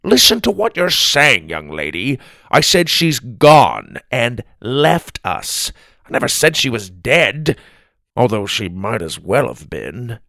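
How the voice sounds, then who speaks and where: A man's voice: mid-range voice, wildly swooping pitch, takes it slowly, gravelly, pushed and bright, dry recording, studio quality, heavily acted. A man voicing a huffy, defensive old grandfather who grumbles and gets flustered in a storybook. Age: older.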